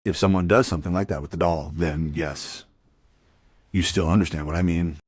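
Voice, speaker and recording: Deep-voiced older man, staid pensioner. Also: fairly even intonation, normal speed, slightly rough, dry recording, clean studio sound. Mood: uneasy. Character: staid, uneasy retired male official, a grandfather. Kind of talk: crime audio drama dialogue.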